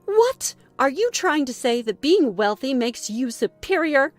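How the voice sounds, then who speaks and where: A woman voicing a furious, haughty young mother in a story, shrill and accusing. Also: big pitch swings, a little slow, faint music behind. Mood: screeching rage. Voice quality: shrill, screechy when shouting. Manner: accusing, outraged shouting.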